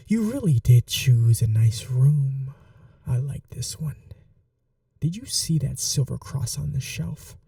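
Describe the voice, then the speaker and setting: A man playing a possessive, tender yet cruel ageless vampire lover in an intimate ASMR roleplay, soft and breathy, often slipping into whispers, slow and coaxing. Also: mid-range voice, no room sound, far from the mic, heavily acted. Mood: wistful, menacing.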